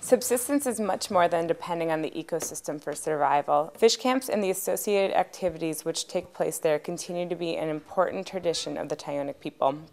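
A young adult woman, a fisheries specialist, explaining her work calmly in a documentary interview voice-over. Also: low voice, a little fast, hardly any pauses. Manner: calm, explanatory. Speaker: subsistence resource specialist.

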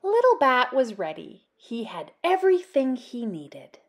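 A woman, reading a children's storybook aloud in an expressive, deliberate way. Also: high voice. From Canada. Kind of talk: animated storybook read-aloud.

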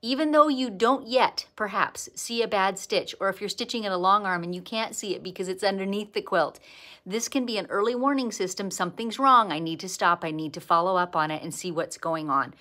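A woman's voice: mid-range voice, brisk. Chatty Canadian woman on a livestream. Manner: chatty, warm greetings to viewers, lively bursts of excitement. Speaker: quilting teacher and livestream host. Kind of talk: casual livestream chat. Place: indoor sewing studio.

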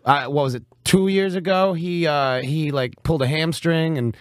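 A man with a raspy voice hosting a casual radio interview in a joking, chatty way. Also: a little high, normal speed, dry recording, studio quality. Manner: joking, laughs often.

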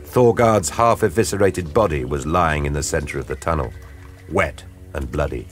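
A man with a deep, low voice narrates an audio drama. His delivery is grave and measured, built for drama, with music clearly playing behind him.

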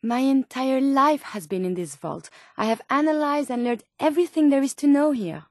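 A female robot scientist from a video game with a high voice and a French accent. She is courteous, inquisitive and earnest, choosing formal, clinical words with care. The sound is dry and close, with no room around it. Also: dry recording. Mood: helpful, eager. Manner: formal, precise, clinical phrasing. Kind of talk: video game companion dialogue lines.

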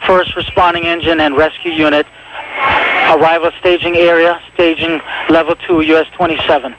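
A man's voice: a little high, flat delivery, moderate pace, muffled recording, very noisy. A man working as an emergency dispatcher, giving clipped, procedural read-backs of calls and times over two-way radio.

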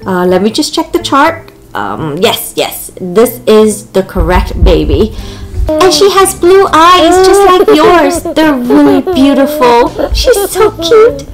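A woman's voice: sits high, big pitch swings, slow pace, exaggerated voice, low-quality recording. A woman voicing a flustered, apologetic hospital nurse in a toy doll story, hesitant and fussy, over music.

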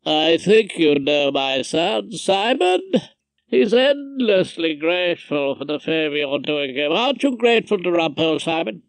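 A man's voice: on the high side, very animated, measured pace, vocal fry, no echo, exaggerated voice, low-quality recording. A man voicing a pompous, condescending older male judge in an audiobook, with a haughty drawl.

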